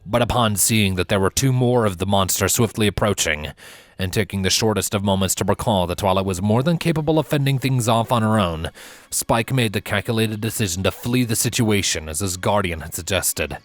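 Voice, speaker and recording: A man reading a fantasy story as narrator, in an expressive, measured way with emphatic drawn-out phrases. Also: lowish voice, dry recording. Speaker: fan fiction narrator. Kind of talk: scripted dramatic story narration. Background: background music under the voice.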